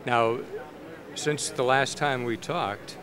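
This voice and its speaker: An older man hosting a gospel music show, asking questions in a halting way with many fillers, on a handheld mic at a convention. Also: mid-range voice, a crowd in the background. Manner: hesitant, with repeated filler words. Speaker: host. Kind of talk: interviewer. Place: convention hall interview booth. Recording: noisy convention hall.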